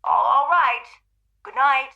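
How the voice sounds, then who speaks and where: Very high, concerned woman as acted. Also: takes it slowly, creaky, narrow, tinny audio, heavily acted. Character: concerned woman friend on the telephone. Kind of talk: radio play acting.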